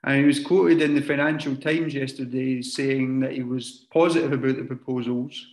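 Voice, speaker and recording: A man asking a question during an online debate. He explains his point carefully and rephrases it when asked. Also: medium pitch. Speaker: audience member asking a question. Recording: echoey room on a call.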